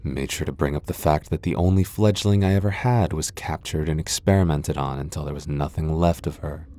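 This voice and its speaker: A proud vampire lord acted out by a man speaks in a deep, dark-toned voice. He is sardonic and defiant, with a dry, sighing delivery suited to a fantasy ASMR roleplay. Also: a little fast. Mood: dry, disdainful. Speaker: voice actor in a roleplay audio.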